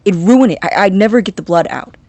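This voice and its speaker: A woman playing a nervous, awkward young scientist in a naturalistic audio drama, husky and halting, easily flustered. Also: mid-range voice, a little mellow, dry recording, natural voice. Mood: wounded, exasperated. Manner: halting, stammering, indignant when challenged.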